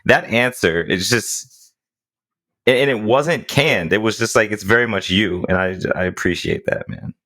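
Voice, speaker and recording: A man co-hosting a podcast, talking with enthusiasm and animated energy. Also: fairly low pitch, moderate pace. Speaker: podcast co-host. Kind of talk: casual interview conversation.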